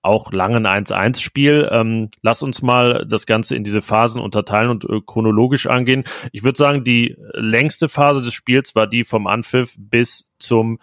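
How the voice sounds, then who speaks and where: A man's voice: mid-range voice, moderate pace, hardly any pauses, fairly bright tone, no room sound, studio quality. A man hosting a casual football podcast, chatty with frequent fillers. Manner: conversational, filler-heavy. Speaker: podcast host, sports reporter.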